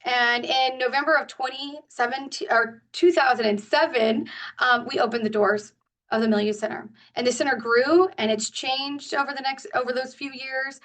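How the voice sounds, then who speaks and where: A woman's voice: fairly high pitch, fairly bright tone. Earnest woman presenting, heard from a distance. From Northern California. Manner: earnest, with frequent fillers and restarts. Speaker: guest presenter. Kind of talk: presentation at a community meeting. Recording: distant from the mic.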